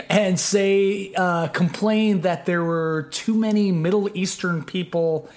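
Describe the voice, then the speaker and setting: A man's voice: sits high, fairly even intonation, slow pace, a little mellow. A man hosting a casual podcast chat, meandering with many fillers. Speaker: podcast host.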